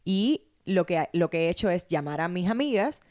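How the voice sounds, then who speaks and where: A woman's voice: on the low side, animated, normal speed, darkish tone. A woman from Cuba with a Cuban Spanish accent, hosting a casual interview and affirming her guests with enthusiastic praise. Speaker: host.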